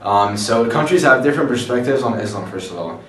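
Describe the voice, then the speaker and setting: A teenage boy from Morocco, a high school student who explains carefully with examples. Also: expressive, a little fast, fairly bright tone, reverberant, recorded from a distance, a little hiss or hum.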